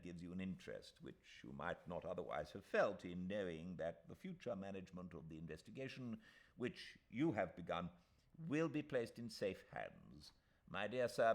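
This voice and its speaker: A man voicing a fussy, self-assured old English gentleman solicitor in an audiobook, speaking formally and emphatically with pompous repetitions. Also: deep voice, big pitch swings, moderate pace. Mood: brisk, self-assured. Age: older. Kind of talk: audiobook character voice.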